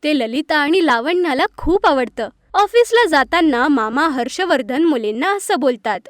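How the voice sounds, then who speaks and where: A woman's voice: high-pitched, lively intonation, moderate pace, no room sound, studio quality. A woman narrating a scripted children's story.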